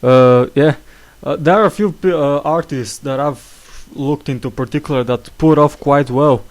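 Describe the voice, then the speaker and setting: A man's voice: a little high, measured pace. Hesitant young man hosting a podcast. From England. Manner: hesitant, full of fillers and restarts. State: slight cough. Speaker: podcast host. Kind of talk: casual chat.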